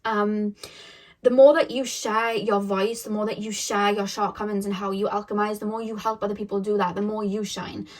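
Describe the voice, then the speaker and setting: A woman's voice: medium pitch, level tone, moderate pace. A young woman giving a casual tarot reading to the camera, rambling with many fillers and self-corrections, her voice a little shaky. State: voice getting shaky. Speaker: tarot reader.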